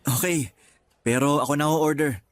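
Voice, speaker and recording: An actor playing a young, discouraged but affectionate boyfriend in a Tagalog radio drama, speaking in a subdued, earnest way. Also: male voice, on the high side, moderate pace, dry recording, clean studio sound, lightly acted. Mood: grateful. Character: young, discouraged, self-doubting, affectionate boyfriend seeking work.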